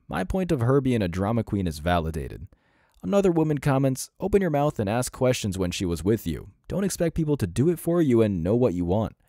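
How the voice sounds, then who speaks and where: A man's voice: mid-range voice, warm tone, no echo, studio quality. A man delivering scripted reaction commentary as a host, in a mocking, sarcastic and blunt manner.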